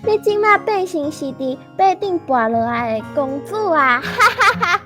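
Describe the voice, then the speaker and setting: A woman's voice: very high voice, animated, unhurried, loud music behind the voice. A woman playing a playful little girl full of wonder in a children's radio drama, childlike and exclamatory, with a Taiwanese accent. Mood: playful, teasing.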